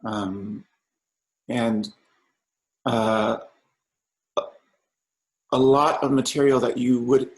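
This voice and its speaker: A man who grew up speaking Samoan, an artist answering panel questions in a halting way with many fillers and restarts. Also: mid-range voice, creaky, poor audio.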